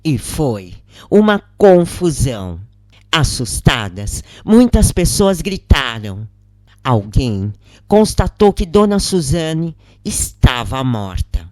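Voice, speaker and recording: Brazilian lady reading a dramatic story, slow and measured, really dry recording. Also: deep voice, dry recording. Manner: measured, expressive, acts out quoted lines. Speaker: narrator of a spiritist account. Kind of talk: dramatic first-person audiobook narration.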